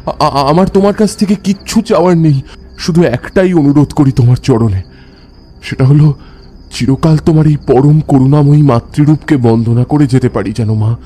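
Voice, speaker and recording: A man's voice: on the high side, moderate pace, warm tone, natural voice. A man playing a devout tantric ascetic, narrating his eerie encounters in an intense, dramatic audio story style. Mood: reverent, pleading. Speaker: first-person narrator. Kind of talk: dramatic scripted horror narration.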